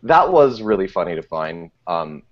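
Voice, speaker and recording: A man's voice: sits low, sing-song, normal speed. A man talking casually as a podcast guest in a wry, self-deprecating, rambling way with frequent false starts. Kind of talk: casual interview chat. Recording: dull, muffled lo-fi audio.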